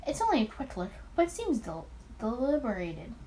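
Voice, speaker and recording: A woman's voice: fairly high pitch, wildly swooping pitch, normal speed, dark tone, a little hiss or hum. A woman reading a visual novel aloud expressively, occasionally stumbling over words and repeating them.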